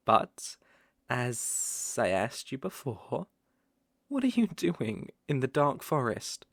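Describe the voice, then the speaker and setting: Someone voicing a very slow, sly, teasing naga. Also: mid-range voice, no echo, clean studio sound. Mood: amused, teasing. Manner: slow, teasing, coaxing drawl with soft chuckles. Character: smooth-talking, sly, predatory naga fond of cute prey. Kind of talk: roleplay ASMR acting.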